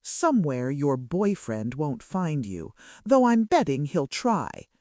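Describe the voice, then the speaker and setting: A woman's voice: low-pitched, sing-song, slow pace, darkish tone, dry recording, clean studio sound, exaggerated voice. A woman voicing a clinical, sardonic male kidnapper who speaks with casual menace in a romance audiobook. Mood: cold. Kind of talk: romance audiobook character dialogue.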